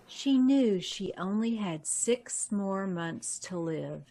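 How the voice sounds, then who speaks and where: A woman's voice: medium pitch, expressive, slow pace, dark tone. An actress playing a secretive, vain young woman in an old-time radio drama, with a theatrical, hesitant delivery. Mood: stunned realization.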